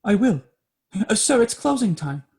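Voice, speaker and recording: A man's voice: high-pitched, sing-song, normal speed, a little mellow. A man voicing a timid young male clerk in a radio play, deferential and hesitant. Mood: meek. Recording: voice over guitar music.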